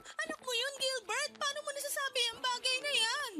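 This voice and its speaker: A woman's voice: very high-pitched, fairly even intonation, a little fast, bright, forceful tone, a little hiss or hum, full character voice. A woman playing a desperate, furious mother abandoned by her partner in an emotional, tearful radio drama. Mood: shocked, confronting. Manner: pleading, emotional outbursts. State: crying. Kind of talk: Tagalog radio drama acting.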